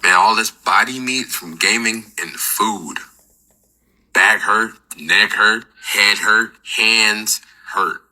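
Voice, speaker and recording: A middle-aged man telling other gamers about aging, in rough, humorous, complaining casual talk. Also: on the low side, slow, sharp tone, rough recording.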